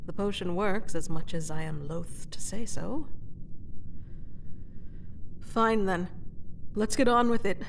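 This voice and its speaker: A woman playing a proud, sardonic captured fantasy queen and former mercenary in a scripted audio roleplay, speaking in a measured, haughty, dramatic way. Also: medium pitch, gravelly, background noise. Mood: resigned, irritated.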